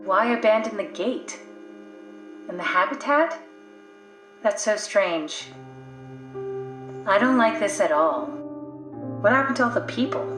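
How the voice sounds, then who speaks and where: A woman's voice: medium pitch, lively intonation, unhurried, long pauses, a bit bright. An actress voicing a pragmatic female scientist whose mind lives in a machine, with a dry, matter-of-fact way of explaining things in a video game. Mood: worried. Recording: echoey room, music underneath.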